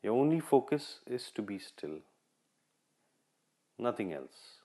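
A man leading a guided meditation in short, repeated instructional phrases, measured and with long pauses. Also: lowish voice, very animated, warm tone. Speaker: meditation guide, podcast host.

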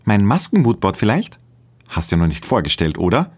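A man voicing a snippy, sporty young woman with an Austrian accent, high and teasing. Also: very animated, moderate pace. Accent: Austrian German. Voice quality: high, sassy.

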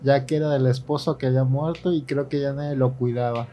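A man co-hosting a podcast, making measured, reasoned points in casual chat. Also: medium pitch, fairly even intonation, mellow, highs cut off, faint music behind. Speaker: podcast co-host.

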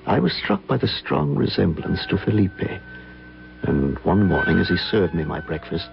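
A man acting in an old-time radio drama as an earnest, courteous gentleman, narrating and speaking in a formal, theatrical manner. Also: low voice, sing-song, normal speed, vocal fry, exaggerated voice. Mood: solemn, confessional. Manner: formal, theatrical, solemn, hesitant. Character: earnest, courteous gentleman recounting a haunting memory. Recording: dull old radio broadcast, music behind.

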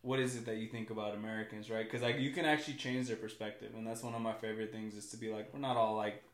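A man's voice: lowish voice, fairly even intonation, fast, reverberant. A man from California, a guest on a casual podcast, talking in a rambling way with lots of fillers and repeated words.

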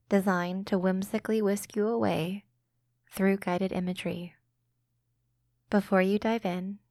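A woman hosting a guided imagery meditation podcast, speaking slowly and gently into a close mic. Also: mid-range voice, dry recording. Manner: slow, soothing, gentle delivery.

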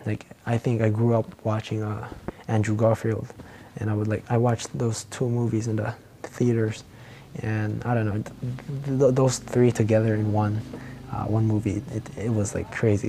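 Mellow teenage boy rambling late at night. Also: lowish voice, a little hiss or hum. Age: teens. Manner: meandering, many fillers and restarts, soft laughs. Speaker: podcast host. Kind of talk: rambling solo podcast chat.

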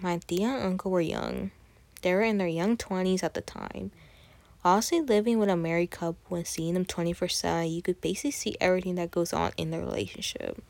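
Gravelly-voiced young woman podcaster. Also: lowish voice, dry recording. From Texas, USA. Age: 20s. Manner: chatty, conversational, with frequent fillers and restarts. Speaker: podcast host. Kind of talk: casual student podcast monologue.

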